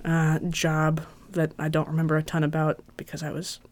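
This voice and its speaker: A woman's voice: deep voice, completely flat delivery, moderate pace, darkish tone, no echo. An American woman guest telling stories of her childhood, chatty and self-deprecating, laughing often. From United States. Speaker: podcast guest. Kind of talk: casual storytelling interview.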